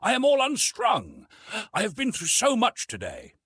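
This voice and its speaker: A man voicing an anxious, well-bred young woman, gentle, polite and pleading, in an adventure audiobook. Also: sits high, wildly swooping pitch, moderate pace, slightly rough, no room sound, voice pushed up, exaggerated voice.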